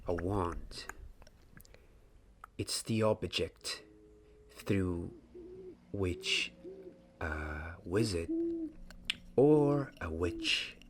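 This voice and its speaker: A young man playing a gentle, mysterious wizard wand maker in a soft, half-whispered ASMR roleplay, speaking deliberately in short phrases. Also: deep voice, lively intonation, full character voice. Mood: instructive, reverent. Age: 20s. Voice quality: hushed, breathy, half-whispered. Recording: audible background hiss, small clicks.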